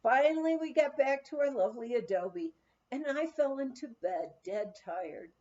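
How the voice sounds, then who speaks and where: A woman reading a humorous first-person memoir aloud as an audiobook, with animated, expressive storytelling. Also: sits high, normal speed, lo-fi sound. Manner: animated storytelling, acting out quoted dialogue. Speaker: audiobook reader.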